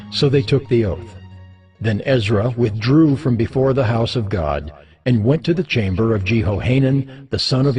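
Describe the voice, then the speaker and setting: A man's voice: lowish voice, dark tone. Male scripture narrator over music.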